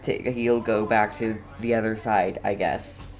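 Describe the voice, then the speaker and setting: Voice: medium pitch, fairly even intonation, measured pace. A game streamer giving casual, chatty livestream commentary with light teasing.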